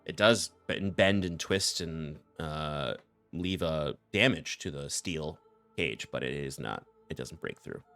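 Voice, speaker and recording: A man narrating as game master of a tabletop game, relaxed and laughing often, with many fillers. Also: rumbling low, a little slow, dry recording, soft background music.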